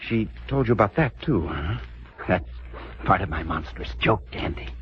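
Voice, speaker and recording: A man's voice: on the low side, expressive, normal speed, lightly acted. Man acting an unhinged, swooping composer in radio drama. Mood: grandiose, conspiratorial. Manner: theatrical, erratic, chuckling. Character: unhinged, cackling composer, grandiose and fatalistic. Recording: muffled, noisy old radio recording.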